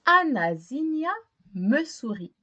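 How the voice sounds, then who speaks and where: A woman reading a children's picture book aloud expressively, pausing to put simple questions to young listeners. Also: high voice, very animated, pushed and bright, dry recording, lo-fi sound. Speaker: storytime reader.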